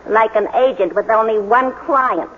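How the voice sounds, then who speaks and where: A woman playing a suspicious, wisecracking maid in a vintage radio drama, deadpan and folksy. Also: fairly high pitch, moderate pace, a little hiss or hum, natural voice. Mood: sardonic. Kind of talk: 1940s-50s radio drama acting. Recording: muffled, reverberant old radio broadcast.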